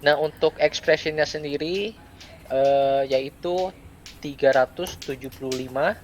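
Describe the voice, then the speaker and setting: A young adult man gives a step-by-step software tutorial, speaking in short, halting phrases with pauses. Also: fairly high pitch, faint music behind. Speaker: student presenter giving a software tutorial. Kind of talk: step-by-step screen tutorial, unscripted. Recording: clear background noise.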